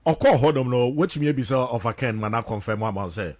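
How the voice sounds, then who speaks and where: A man's voice: mid-range voice, animated, fast talker, a little mellow. A man making brief conversational interjections on a talk show.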